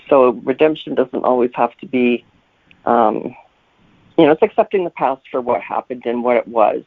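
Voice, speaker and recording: A man's voice: mid-range voice, vocal fry, no echo. A man answering interview questions as a guest novelist in a conversational, reflective way, explaining things as he goes. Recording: thin telephone-like line.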